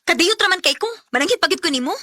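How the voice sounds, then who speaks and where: A woman's voice: very high-pitched, lively intonation, rapid-fire, sharp tone, dry recording, studio quality, heavily acted. An actress playing a young live-in girlfriend, evasive and easily irritated, with a Cebuano Filipino accent in a radio drama. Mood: irritated, defensive. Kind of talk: Bisaya radio drama acting.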